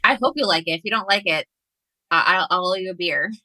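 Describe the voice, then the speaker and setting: Bright-voiced woman guest, slightly low. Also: animated, fast talker, dry recording, low-quality recording. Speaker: guest author. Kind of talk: casual book discussion.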